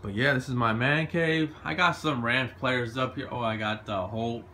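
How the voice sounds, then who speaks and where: A man's voice: mid-range voice, steady tone, normal speed, sharp tone, a little hiss or hum. A man, giving a laid-back, boastful tour of his bedroom, filmed on a handheld phone. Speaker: vlogger giving a room tour. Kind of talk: casual filmed room tour. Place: small bedroom at home, indoors. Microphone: handheld phone camera.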